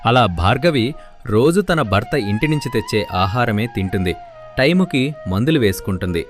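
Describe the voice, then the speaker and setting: A man narrating a scripted storybook tale in a steady way. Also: medium pitch, moderate pace. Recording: faint background music.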